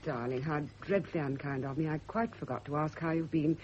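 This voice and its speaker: A woman's voice: low voice, brisk, a little mellow, lightly acted. A woman playing a controlled, dryly patronising British spinster in a radio drama. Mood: bright, brittle. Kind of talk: 1970s radio drama acting. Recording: dull, noisy old radio recording.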